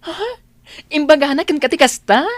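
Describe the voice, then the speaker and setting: A woman with a Filipino accent playing a supportive friend in a radio drama, with expressive delivery. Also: high voice, normal speed, dry recording, lightly acted. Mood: surprised, delighted. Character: supportive female friend working abroad. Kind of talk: Ilocano radio drama acting.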